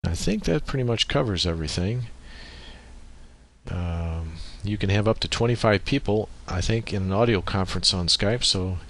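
A man giving an informal software tutorial, explaining methodically and thinking aloud as he works through the steps. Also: low voice, moderate pace. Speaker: tutorial presenter. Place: indoors at a computer, voice-over on screen recording.

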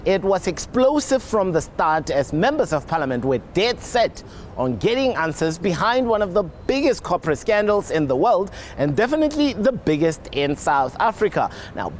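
A man's voice: high voice, slight background noise. A young man presenting a scripted television news segment outdoors beside an office building.

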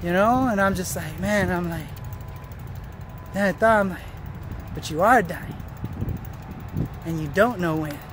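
A man in his thirties with a high-pitched voice talks casually into a phone held at arm's length on a roadside path. He rambles in slangy, repetitive bursts, often breaking off and restarting a thought. Faint outdoor traffic and ambient noise runs behind him. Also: a little slow, long pauses. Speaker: vlogger. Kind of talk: casual walking vlog monologue.

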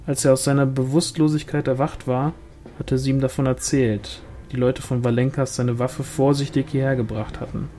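A man's voice: mid-range voice, little pitch movement, normal speed, warm tone. A man reading a fantasy novel aloud as scripted literary narration. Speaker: audiobook reader.

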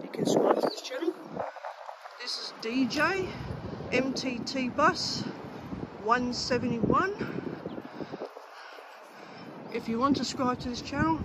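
Rambling Australian man filming outdoors. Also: very high voice. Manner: rambling, repetitive, blunt with swearing. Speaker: vlogger filming train stations. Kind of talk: casual walking commentary. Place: outdoor train station and construction site. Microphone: handheld phone camera. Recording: loud outdoor noise on phone.

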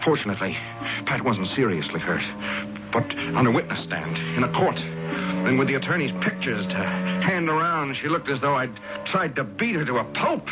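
A hot-headed young athlete played by a man in a vintage radio drama. He is blunt and intense, with lively pitch, on a dull recording with music behind. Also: moderate pace, lightly acted. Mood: bitter. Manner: intense, blunt, working-class phrasing. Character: bitter, hot-headed young football player turned vengeful husband. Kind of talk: 1970s radio drama acting and narration.

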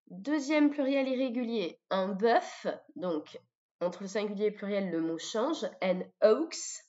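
A French-accented woman teaching vocabulary in a didactic way, repeating words deliberately for pupils. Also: medium pitch. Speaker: English teacher. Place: voice-over of a screen recording. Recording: dull lo-fi microphone sound.

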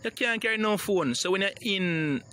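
A man's voice: sits high, expressive, moderate pace, sharp tone, slight background noise. A man with a Jamaican accent who reads news reports and then comments on them in dramatic patois, often calling out to his listeners as "people".

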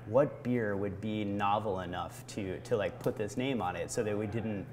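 An American man in his thirties from Vermont giving reflective interview answers, haltingly, searching for words. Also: on the low side, darkish tone. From Vermont, United States. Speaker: brewery founder being interviewed. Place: indoor barrel room of a brewery. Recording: noticeable background room noise.

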